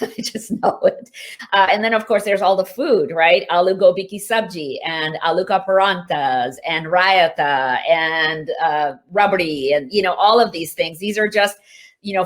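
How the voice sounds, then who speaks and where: Female author, Hindi native, low register, chatty Q&A over a video call, easy laughs, lots of fillers. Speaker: author answering reader questions. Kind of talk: casual virtual Q&A. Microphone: video call mic.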